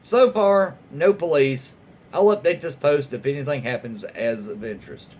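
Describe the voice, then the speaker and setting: A man's voice: fairly high pitch, moderate pace, slightly rough. A man with a Southern US accent reading stories aloud casually, with folksy, rambling asides and drawling slang. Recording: dull lo-fi audio with faint noise.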